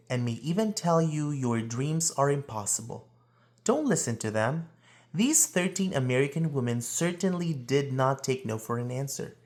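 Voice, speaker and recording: Expressive man reading aloud, very animated pitch. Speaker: read-aloud presenter. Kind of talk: children's picture book read-aloud.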